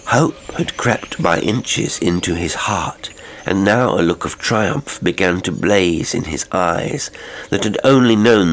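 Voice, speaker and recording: A man narrating a classic horror audiobook in a measured, literary, theatrical manner. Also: sits low, vocal fry, poor audio. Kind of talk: dramatic horror story narration. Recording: music behind the voice.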